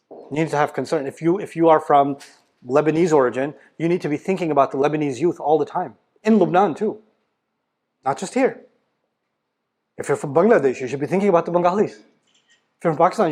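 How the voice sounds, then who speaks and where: A man's voice: a little high, a little mellow. A man giving a motivational religious lecture, emphatic and blunt, firing rhetorical questions and teasing his listeners. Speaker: Islamic lecturer.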